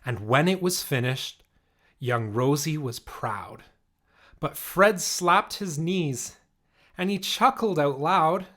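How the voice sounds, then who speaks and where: A man reading a rhyming children's picture book aloud in an expressive, measured way. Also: fairly high pitch. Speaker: story reader.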